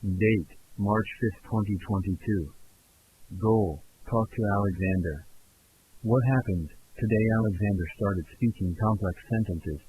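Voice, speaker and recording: A man acting as a male researcher with a fairly low voice records test logs for a fictional audio drama. His delivery is formal and report-like, in short clipped entries. A clear hiss and hum runs under the recording. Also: unhurried, natural voice. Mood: formal, matter-of-fact. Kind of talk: scripted audio log, found-recording fiction.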